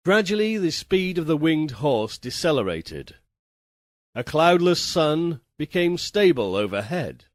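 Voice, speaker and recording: A man narrating a literary first-person story as an audiobook reader, with a measured, theatrical, formal delivery. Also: on the high side, dry recording, studio quality.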